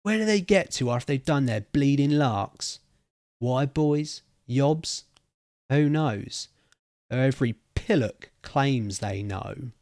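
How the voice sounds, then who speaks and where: A man's voice: mid-range voice, expressive, takes it slowly, darkish tone, dry recording, studio quality, pitched above the performer's own voice. Man playing an old cockney pub storyteller. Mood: animated. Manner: slangy, emphatic.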